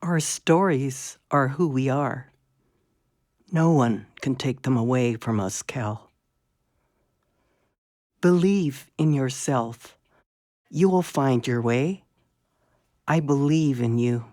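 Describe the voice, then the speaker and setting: An elderly grandmother in an audio drama, earnest, solemn and protective. The delivery is extremely slow and low, in short emphatic phrases. The recording is dry and close. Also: dark tone, dry recording. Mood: solemn, encouraging.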